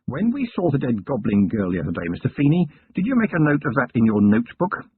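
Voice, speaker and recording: A man's voice: medium pitch, huge pitch swings, quick pace, no room sound, heavily acted. A man doing a dry, weary police commander. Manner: dry, gruff, sardonic. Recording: tinny lo-fi narration recording.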